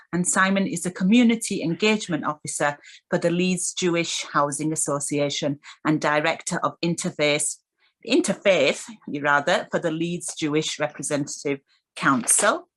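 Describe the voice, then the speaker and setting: A woman's voice: fairly low pitch, a bit bright. A woman hosting a radio show, introducing guests and putting questions to them. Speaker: radio host.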